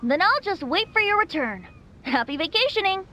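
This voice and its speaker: A woman's voice: very high-pitched, big pitch swings, normal speed, bright, forceful tone, slight background noise, exaggerated voice. A woman voicing a playful, carefree boyish bard in a video game, with an airy, teasing, theatrical delivery. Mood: gentle, cheerful.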